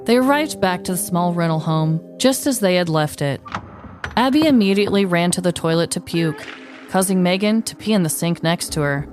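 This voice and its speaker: Low-voiced woman narrating a comedic story. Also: lively intonation, moderate pace, darkish tone, faint music behind. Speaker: podcast host.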